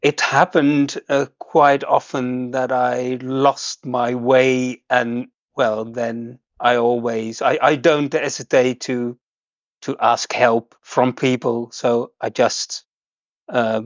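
A man's voice: mid-range voice, level tone, dry recording, lo-fi sound. Hesitant man from the Netherlands. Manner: hesitant, halting, frequent restarts and laughs. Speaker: guest host. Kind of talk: casual chat.

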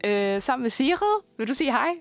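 A woman's voice: sits high, big pitch swings. A woman hosting a radio show, chatting informally and slipping in the odd English phrase. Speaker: radio host interviewing a musical guest. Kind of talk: casual chat.

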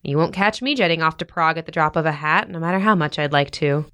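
A woman acting a patient, sensible mother who comforts with gentle firmness in a modern audio drama. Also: low-pitched, fast talker, smooth. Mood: reassuring, firm. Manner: soothing, reassuring, gently firm.

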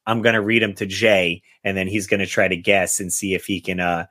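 A man's voice: low voice, fairly even intonation, brisk, bright, forceful tone. A man chatting casually as a podcast host in an easygoing manner.

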